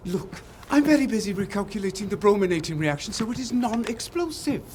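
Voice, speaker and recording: An actor playing a pompous, irritable, callous scientist in a video game. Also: male voice, high voice, lively intonation, a little fast, darkish tone, slight background noise. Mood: irritated. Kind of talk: video game character acting.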